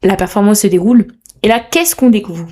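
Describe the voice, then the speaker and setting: A woman's voice: mid-range voice, fairly quick, mellow. A young woman hosting a casual solo podcast, chatty, playful and self-mocking, with a slightly sore throat. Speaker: podcast host. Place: room at home.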